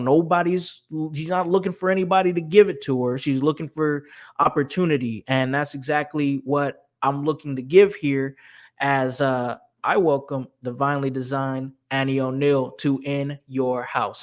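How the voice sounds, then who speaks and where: A man with a fairly high voice hosting a wrestling talk show. He rambles in a loose, friendly way, circling back on his words and leaning on fillers as he goes. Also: moderate pace. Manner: rambling, many restarts and fillers. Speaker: podcast host. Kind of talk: casual livestream chat.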